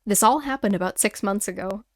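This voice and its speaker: A woman hosting a paranormal story show, reading listener tales aloud and reacting with animated, rambling, exclamatory asides. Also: medium pitch, brisk, no echo, clean studio sound. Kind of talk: chatty commentary and reading listener stories aloud.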